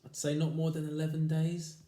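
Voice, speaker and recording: A man voicing a cruel old husband who taunts his wife with loud, slow, gloating lines. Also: fairly high pitch, completely flat delivery, voice pushed up, lightly acted. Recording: echoey room.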